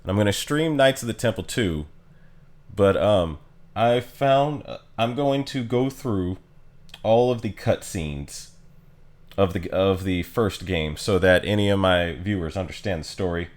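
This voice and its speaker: A man chatting casually as a game streamer, informal and halting as he thinks aloud. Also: low voice. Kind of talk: casual livestream chat.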